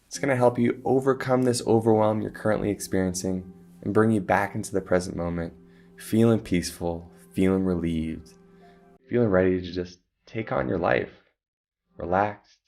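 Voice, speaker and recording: A man calmly guiding a meditation, giving slow breathing instructions with long pauses. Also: low voice, creaky. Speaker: meditation guide. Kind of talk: guided meditation.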